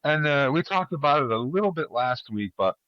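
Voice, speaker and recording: A man hosting a casual panel chat, talking in a halting, opinionated, conversational way. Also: fairly high pitch, lively intonation, no echo, muffled recording. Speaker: podcast host.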